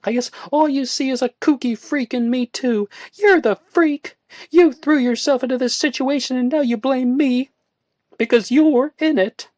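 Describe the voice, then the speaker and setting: A woman reading a story aloud and voicing a distraught, volatile young woman who pleads and lashes out in shrill outbursts. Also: a little slow, warm tone, no echo, studio quality. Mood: angry.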